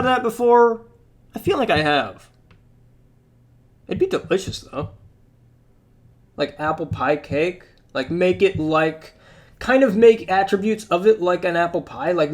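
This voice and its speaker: A man's voice: high-pitched, very animated, measured pace, pauses often. Rambling young man losing his voice. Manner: rambling, chatty, many fillers. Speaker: mobile game commentator. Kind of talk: casual rambling commentary.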